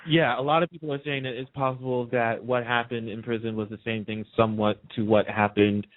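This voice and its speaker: A man's voice: mid-range voice, fairly even intonation, fairly quick. A man talking casually, as a guest on a radio talk show. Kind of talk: casual chat about a TV soap. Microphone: phone line. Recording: narrow phone-line sound.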